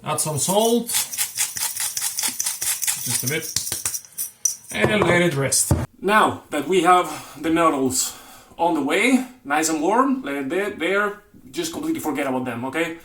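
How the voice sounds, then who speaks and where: A man's voice: on the high side, expressive, unhurried, long pauses, a bit bright, soft background music. Man, casual joking cooking host, rambly and self-correcting, echoey home kitchen. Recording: roomy kitchen with faint noise.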